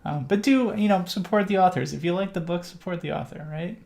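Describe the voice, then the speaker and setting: A man's voice: sits high, fairly quick, vocal fry, darkish tone. A middle-aged Canadian man hosting a live stream, answering viewer questions in a rambling casual way with lots of fillers. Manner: rambling with many fillers and restarts. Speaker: livestream host.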